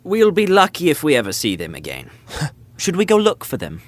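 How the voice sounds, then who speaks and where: An actor playing a prince's loyal, level-headed young best friend, bantering dryly in a modern comedy audio drama. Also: male voice, on the high side, huge pitch swings, moderate pace. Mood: wry. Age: young adult. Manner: dry, teasing banter.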